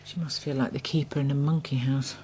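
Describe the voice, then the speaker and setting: An actress playing a wry, thoughtful middle-aged farmer's daughter in a radio soap, husky. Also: female voice, rumbling low, steady tone, fairly quick, dark tone. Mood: apologetic, torn. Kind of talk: naturalistic radio soap acting.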